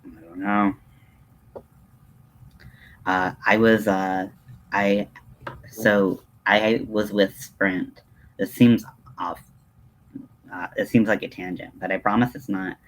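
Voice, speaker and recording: A man with a low-pitched voice chats casually as a livestream host. He takes his time, hesitating often and drifting into tangents in a self-deprecating way. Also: fairly even intonation, takes it slowly, long pauses, fairly bright tone, poor audio. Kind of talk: casual rambling livestream chat.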